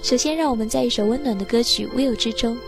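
Woman radio host with creaky edges. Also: on the high side, fast. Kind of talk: scripted radio narration. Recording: music playing behind the voice. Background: background music.

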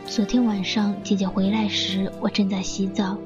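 A woman's voice: medium pitch, level tone, moderate pace, lo-fi sound, lightly acted. A woman playing a polite, earnest young daughter answering questions in a radio drama. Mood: subdued, cooperative. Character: soft-mannered young woman, daughter of a professor. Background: music.

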